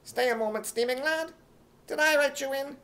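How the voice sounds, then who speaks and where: A man's voice: extremely high pitch, moderate pace, bright, forceful tone. A man voicing a pompous, eccentric author in an imitation British accent for radio comedy. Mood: weary.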